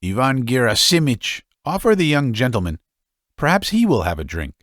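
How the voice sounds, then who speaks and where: A man voicing a coarse, sharp-tongued middle-aged woman with an abrupt, mincing drawl. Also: medium pitch, wildly swooping pitch, moderate pace, no echo, clean studio sound, voice pushed up.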